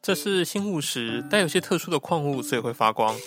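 Over background music, an actor plays a calm male guide in an audio drama. His voice sits a little high for a man, and he gives careful, matter-of-fact instructions to his companions. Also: a little fast, natural voice. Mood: calm, explaining. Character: calm, cautious male guide to a hidden tribe's land. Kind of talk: original audio drama acting.

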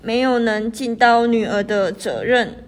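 A young woman playing the gentle, pleading ghost of a princess in an amateur radio drama. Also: on the high side, a little slow, natural voice. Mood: sorrowful. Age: young adult. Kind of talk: student radio drama acting.

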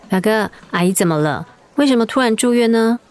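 A woman voicing a caring young niece in a radio drama, gentle and polite in her speech. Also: a little high, animated, fairly quick, natural voice. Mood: concerned.

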